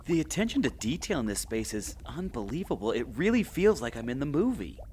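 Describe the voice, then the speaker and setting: A man's voice: mid-range voice, sing-song, fairly quick, a little mellow, slight background noise, lightly acted. A man acting as an easygoing, awestruck member of an adventuring group in an audio drama, talking casually. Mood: wondering.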